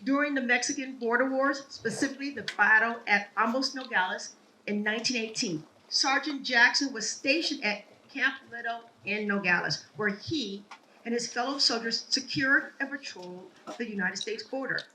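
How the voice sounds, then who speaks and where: Woman giving a ceremony speech, earnest and measured, bright voice, kinda far from the mic, faint background noise. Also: a little high. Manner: earnest, measured delivery of a family history. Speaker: ceremony speaker. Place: outdoor ceremony.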